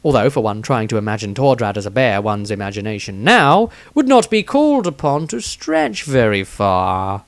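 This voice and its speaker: A man reading his own fantasy story as scripted narration, with dramatic delivery and deliberate pauses. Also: fairly low pitch, very animated, no room sound.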